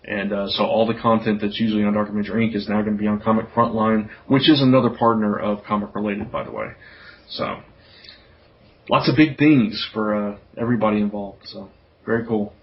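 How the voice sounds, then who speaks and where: A man's voice: lowish voice, steady tone, a little fast. A man hosting a podcast in casual chat, talking in an informal, rambling way. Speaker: podcast host. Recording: dull, lo-fi podcast audio.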